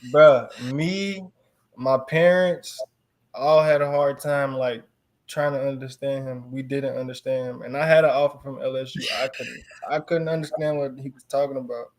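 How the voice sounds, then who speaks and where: A relaxed young man with a Chicago accent chats through an interview, trailing off and restarting his thoughts. His voice sits at an ordinary middle pitch for a man. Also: normal speed. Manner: relaxed, filler-heavy, chuckles often. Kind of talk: casual interview.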